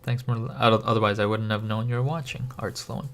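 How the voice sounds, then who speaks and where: A young man who grew up in Korea, chatting casually on a livestream, answering viewer questions slowly and with frequent pauses as he thinks aloud. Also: on the low side, fairly even intonation, fairly bright tone. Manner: slow, halting, thinking aloud with many pauses. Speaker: livestream host.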